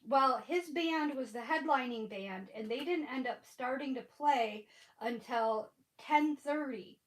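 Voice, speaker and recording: A woman's voice: high-pitched, measured pace, low-quality recording. A woman hosting a casual crafting livestream from home, chatting and rambling with a stuffy, sniffly head cold. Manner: chatty, rambling, laughs often.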